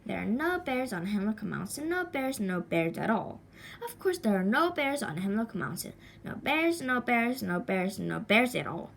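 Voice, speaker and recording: A woman's voice: fairly high pitch, very animated, moderate pace, creaky, a little hiss or hum. A woman voicing a small boy who chants rhythmically to himself to keep up his courage, in a storybook reading. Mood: brave, self-reassuring. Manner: rhythmic sing-song chant, marking time.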